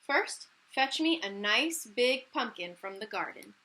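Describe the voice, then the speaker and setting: A woman voicing a kindly, brisk little fairy godmother in a storybook, giving emphatic instructions with drawn-out words. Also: fairly high pitch, lively intonation, sharp tone. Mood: instructing.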